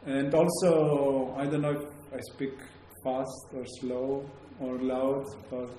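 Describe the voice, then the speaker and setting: A man with a Greek accent lectures to an audience, pausing often on fillers and restarting his sentences. His voice is mellow and dark. It rings out in a very echoey room over a faint hum of background noise. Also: medium pitch, little pitch movement. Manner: hesitant, many fillers and restarts. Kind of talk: academic talk to a live audience.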